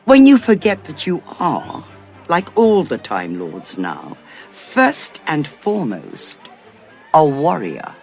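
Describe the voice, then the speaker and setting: An actress with a British accent playing a scheming, coolly amused war commander in an audio drama, arch and condescending. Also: female voice, low voice, huge pitch swings, slow pace, gravelly, a little mellow, faint music behind, heavily acted. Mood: cutting, superior. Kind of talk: full-cast audio drama acting.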